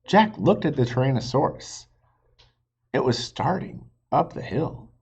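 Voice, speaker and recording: A man reading a children's book aloud as a teacher, expressive and pausing to ask listeners questions. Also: medium pitch, creaky, breathy edge. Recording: dull lo-fi recording.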